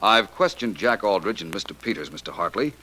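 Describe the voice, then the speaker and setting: Actor as deep-voiced, assured radio detective. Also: male voice, expressive, a little fast, loud music behind the voice, lightly acted. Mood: calmly reasoning. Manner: smooth, confident, deductive. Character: assured, shrewd male amateur detective, calmly reasoning. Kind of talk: 1940s radio acting. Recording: noisy vintage radio recording.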